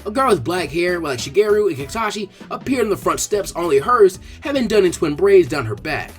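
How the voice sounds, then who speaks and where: A man reading fan fiction aloud in expressive scripted narration. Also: sits high, fairly quick, soft background music.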